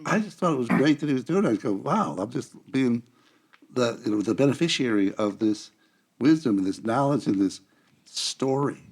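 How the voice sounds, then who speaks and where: A man's voice: mid-range voice, expressive, normal speed, darkish tone, slightly rough. A man with an American accent, earnestly telling anecdotes in a casual conversation as a podcast guest.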